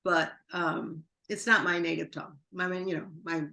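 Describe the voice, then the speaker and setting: A woman's voice: on the low side, steady tone, moderate pace, gravelly, pushed and bright. A woman from New York, a guest in an interview, telling long rambling anecdotes full of quoted dialogue. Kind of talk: interview storytelling.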